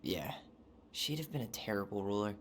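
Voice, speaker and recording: A man playing an earnest, gently teasing young adult in a video game, with a casual, dry delivery. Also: on the low side, expressive, moderate pace, close to an everyday voice. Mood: skeptical, playful. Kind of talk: game cutscene voice acting. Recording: faint background noise.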